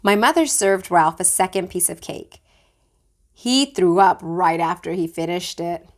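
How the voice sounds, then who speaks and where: A woman reading a children's book aloud like a teacher, with expressive storytelling. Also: on the low side, unhurried.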